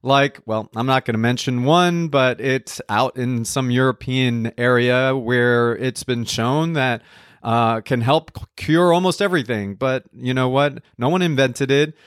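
A man's voice: mid-range voice, a little slow, smooth, no echo, studio quality. A middle-aged man from Colombia, a nutrition counselor talking casually and wryly on a podcast. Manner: conversational, wry, lightly joking. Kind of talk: podcast talk.

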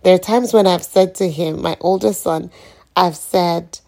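Croaky, low-pitched woman. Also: steady tone, unhurried, darkish tone. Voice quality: croaky morning voice. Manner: rambling, reflective. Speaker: podcast host. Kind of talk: informal solo podcast monologue, personal reflection.

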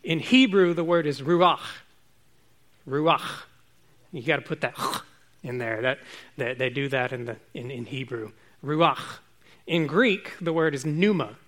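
A man preaching a relaxed, informal sermon to his congregation, chatty and self-joking. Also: a little high, unhurried, pauses often.

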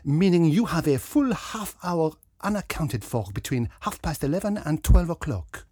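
A man voicing a pompous, dapper little detective with a French accent, theatrical and deliberate, in a mystery story. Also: a little high, lively intonation, mellow, husky, no echo, clean studio sound, pitched above the performer's own voice. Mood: accusing. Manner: pompous, self-regarding, deliberate.

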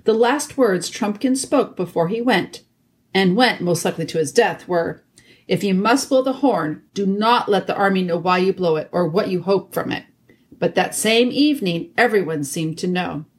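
A woman's voice: medium pitch, moderate pace, lightly acted. A woman voicing a loyal, earnest talking badger with a thick voice in a storybook reading. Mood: indignant.

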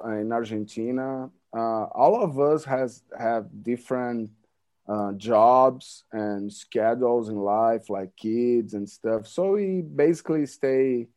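A Brazilian man in his forties with a Brazilian Portuguese accent, chatting as a guest in an interview, halting and repeating words, laughing often. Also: mid-range voice, warm tone. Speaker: band member, guest. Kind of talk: casual interview chat.

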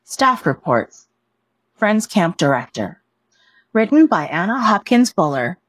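A woman reading a written report aloud as scripted narration, in a measured, formal manner. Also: mid-range voice, sing-song, dry recording. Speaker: reader of a written staff report.

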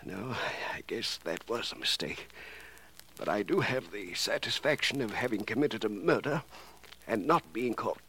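An actor in a 1940s radio drama playing a weak, dying criminal professor, halting, gasping and bitterly taunting. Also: male voice, on the low side, animated, vocal fry, sharp tone, exaggerated voice. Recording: noisy vintage radio recording.

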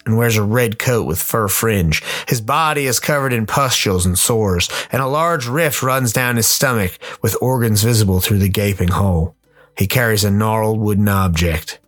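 A man's voice: lowish voice, a bit bright. A man narrating a scripted radio play story. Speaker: host and narrator. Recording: music behind the voice.

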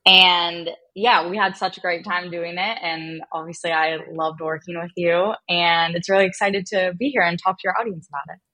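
Female American podcast guest, notably low register, informal expert who explains step by step. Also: fairly even intonation, normal speed, hardly any pauses, bright, forceful tone, poor audio. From United States. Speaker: podcast guest, marketing and funnel strategist. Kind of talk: explanatory interview talk.

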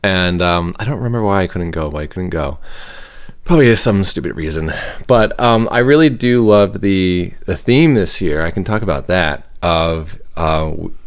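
Young man, very deep voice, halting, joking, casual podcast chat. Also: animated. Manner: joking, halting, searching for words. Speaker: podcast co-host.

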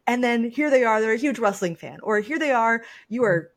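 A woman's voice: fairly high pitch, animated, brisk. A woman, around her thirties, hosting a casual podcast, giving advice and repeating phrases for emphasis. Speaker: podcast host.

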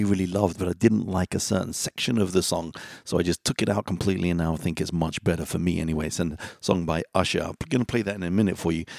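A man's voice: low voice, gravelly, no room sound, clean studio sound. A man hosting a music podcast, chatting casually and directly to his listeners between songs. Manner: relaxed, chatty, talks straight to listeners.

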